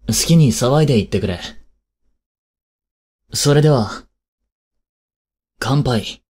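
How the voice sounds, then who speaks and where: An actor playing a cold, haughty young prince in an audio drama, with a husky, breathy voice and a curt, imperious manner. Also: male voice, mid-range voice, warm tone. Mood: grand, welcoming. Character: cold, haughty young prince scolding and fretting over his maid. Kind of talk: Japanese audio drama acting.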